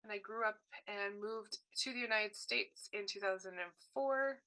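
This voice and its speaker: A woman with a bright, forward voice interprets a signed workshop presentation aloud. She speaks in short bursts and rephrases as she goes, correcting words partway through. Her pitch sits in an ordinary middle range. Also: normal speed, rough recording. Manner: short broken phrases with frequent self-corrections. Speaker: sign language voice interpreter.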